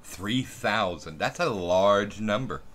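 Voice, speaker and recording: Low-voiced man on a noisy line. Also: expressive, slow, a bit bright. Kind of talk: casual commentary in brief asides.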